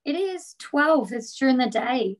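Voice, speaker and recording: An Australian woman answering as a guest in a casual interview, relaxed and rambling, filling her talk with "like". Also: high-pitched, unhurried. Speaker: boxer, guest.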